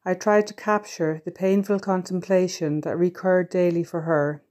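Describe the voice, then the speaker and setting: A woman, a poet, introducing herself and then reciting her own poem in a slow, deliberate way. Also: fairly low pitch, steady tone, darkish tone. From Ireland. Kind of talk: poetry reading.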